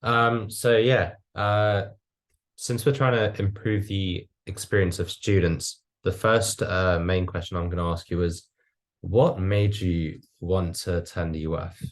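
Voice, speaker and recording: A man's voice: low-pitched, fairly even intonation, a little slow. A young man working through a semi-scripted interview as a student interviewer. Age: young adult.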